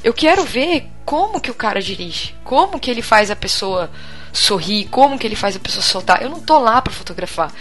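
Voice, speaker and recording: A Brazilian woman co-hosting a podcast, chatting casually in a blunt, expressive and colloquial way. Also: fairly high pitch, fairly quick, soft background music. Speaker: podcast co-host. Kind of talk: casual group chat.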